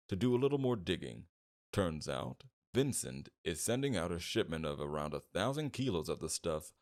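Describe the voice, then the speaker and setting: A man playing a smug, flirtatious and menacing mob boss in an ASMR roleplay, with a suave, teasing delivery and a gravelly voice. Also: very deep voice, exaggerated voice. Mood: businesslike. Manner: teasing, suave, drawn-out flirtation with veiled threats. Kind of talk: ASMR roleplay, scripted character acting.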